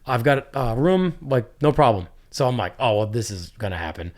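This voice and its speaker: A man's voice: medium pitch, moderate pace. A man hosts a casual podcast, spinning a long story about a trip and a friend. He slips into the voices of the people he quotes and keeps the talk loose and chatty. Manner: animated storyteller, acts out quotes. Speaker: podcast host.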